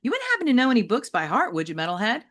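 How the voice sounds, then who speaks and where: Scrappy dog hero, voiced by a female reader, eager coaxing delivery, lively intonation, children's story. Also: fairly high pitch, very fast, voice pushed up.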